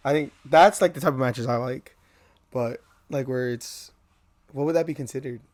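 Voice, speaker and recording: Easygoing young man, mid-pitched. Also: normal speed, a crowd in the background. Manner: easygoing, asks short questions. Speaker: podcast co-host. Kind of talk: casual chat.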